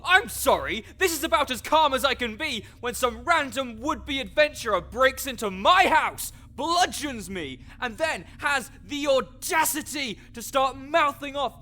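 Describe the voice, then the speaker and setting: A man's voice: extremely high pitch, bright, forceful tone, exaggerated voice. A man playing a grouchy, fussy necromancer researcher in an audio roleplay, sarcastic and wordy as he speaks to the listener. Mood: indignant, outraged.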